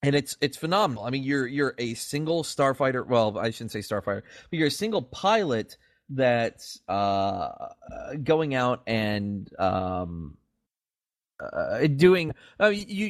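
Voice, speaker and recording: A man's voice: mid-range voice, dry recording, clean studio sound. A man co-hosting a casual podcast discussion about games, speaking in an enthusiastic, emphatic way. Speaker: podcast co-host.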